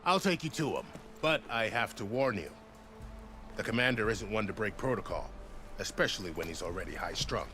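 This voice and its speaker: A genial second-in-command in a fantasy video game. His voice sits low and moves animatedly, with a courteous, wry, teasing manner. Prominent music plays behind him. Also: lively intonation, brisk, bright, forceful tone. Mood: amused, teasing. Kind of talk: video game cutscene acting.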